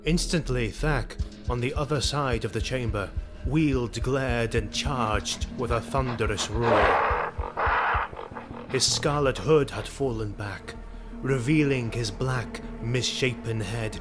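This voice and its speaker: Grave male narrator over music. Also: mid-range voice. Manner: grave, deliberate, dramatic. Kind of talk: scripted dramatic fantasy story narration.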